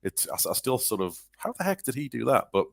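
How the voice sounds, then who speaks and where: A man's voice: medium pitch, big pitch swings, a little fast, vocal fry, fairly bright tone, dry recording, clean studio sound. A man from northeast England, co-hosting a podcast, chatting loosely about songs with many hesitations and restarts. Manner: rambling, hesitant, full of restarts and fillers. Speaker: podcast co-host. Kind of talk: casual chat about music.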